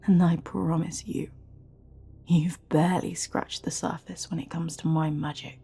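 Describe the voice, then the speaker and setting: Woman doing an evil fantasy advisor sorceress, slow smug taunting, low-lit mellow tone, breathy n whispery, very theatrical. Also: level tone, a little hiss or hum. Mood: condescending, threatening. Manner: theatrical, mock-courteous, taunting, drawn-out phrasing. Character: scheming evil royal advisor, silky, smug, menacing sorceress. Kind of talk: dramatic fantasy audio roleplay.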